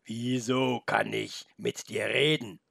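A man's voice: medium pitch, level tone, creaky, a bit bright, slightly rough, no room sound, studio quality, full character voice. A man growling as a greedy storybook wolf. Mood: puzzled. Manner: growling, slow and threatening. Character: menacing big male wolf, greedy. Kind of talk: storybook reading.

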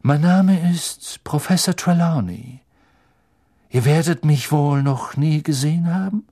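A man voicing a thin, theatrical female fortune-telling teacher with a slow, portentous, airy and ominous manner in an audiobook. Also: low-pitched, dark tone, no echo, clean studio sound, pitched above the performer's own voice. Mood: mystical, grave. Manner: slow, drawn-out, portentous delivery.

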